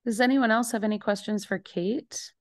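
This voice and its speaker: A woman's voice: a little high, moderate pace. A woman moderating a casual meeting as a choir teacher, informal and encouraging in how she talks. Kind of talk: casual online meeting chat.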